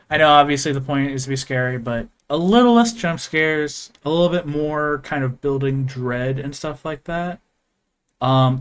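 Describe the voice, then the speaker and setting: A man giving casual reaction commentary in a halting way, often repeating himself and mildly swearing. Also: mid-range voice. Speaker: reactor, video creator.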